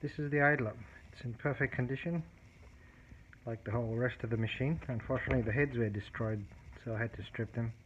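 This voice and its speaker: A man casually demonstrating a tape recorder repair at his workbench, explaining step by step in a halting way, with many fillers and restarts. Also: mid-range voice, fairly even intonation. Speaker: hobbyist repairer. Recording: dull, lo-fi audio with clear background noise and clicks.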